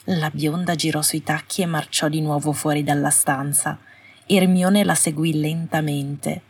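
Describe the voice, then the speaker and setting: Husky, low-voiced woman narrating a story. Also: steady tone, unhurried. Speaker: audiobook narrator. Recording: roomy, slightly distant home recording.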